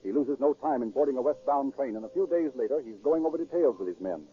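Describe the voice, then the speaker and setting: Fast-talking 1930s radio crime narrator, man. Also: medium pitch, lively intonation, breathy edge. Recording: dull, muffled 1930s radio recording.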